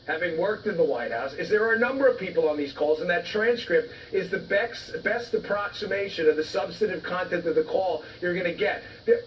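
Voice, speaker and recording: A high-pitched man gives forceful, argumentative commentary as a news guest. The sound is dull and distant, as if picked up away from the mic. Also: lively intonation, brisk, few pauses. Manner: emphatic, argumentative. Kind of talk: live news interview. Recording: muffled, distant-sounding, faint background noise.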